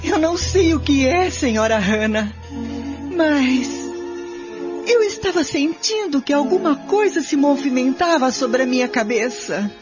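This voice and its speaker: A woman playing a frightened, tearful young housemaid in a radio soap, pleading and overwrought. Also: high voice. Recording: dull lo-fi radio play with music.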